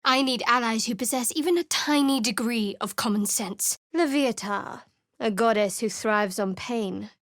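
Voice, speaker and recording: A woman's voice: on the high side, normal speed, a bit bright, no echo. An actress voicing a guarded, sardonic young woman cleric in a video game, speaking in a dry, cool manner. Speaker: game character. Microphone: studio mic.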